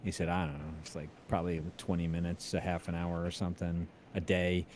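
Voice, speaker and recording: A man hosting a casual chat, telling anecdotes in a halting way as he searches for words. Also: low-pitched, little pitch movement, a little mellow, slight background noise. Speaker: show host and composer.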